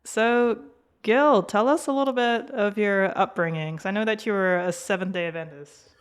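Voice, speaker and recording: Chatty host with a smooth, clear voice. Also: medium pitch, normal speed. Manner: chatty, laughs often, fillers like "like". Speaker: podcast host. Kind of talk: casual podcast chat.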